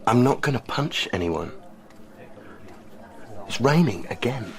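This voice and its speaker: An actor playing an irritable, worn-down British maths teacher in a naturalistic radio drama. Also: male voice, mid-range voice, animated, unhurried. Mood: strained, brooding. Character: irritable, stressed male maths teacher at breaking point.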